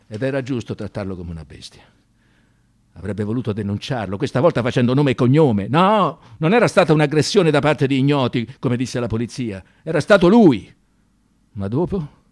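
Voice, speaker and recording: Guy narrating a radio play, intense and theatrical, pitch all over the place, super dry studio sound. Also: darkish tone, no echo. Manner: intense, emphatic, with deliberate dramatic pauses. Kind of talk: dramatic scripted storytelling, theatrical radio narration.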